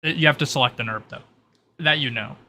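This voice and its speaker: Guy gm-ing a tabletop game, bright forward voice, noticeable noise behind him. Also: medium pitch, quick pace. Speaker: game master. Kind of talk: casual tabletop game narration.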